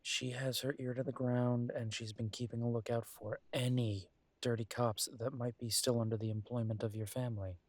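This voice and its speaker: A man playing a caring, weary werewolf detective boyfriend in an intimate roleplay audio drama, speaking in an earnest, gently coaxing, conversational way. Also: mid-range voice, level tone, normal speed, no echo, lightly acted. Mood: reassuring.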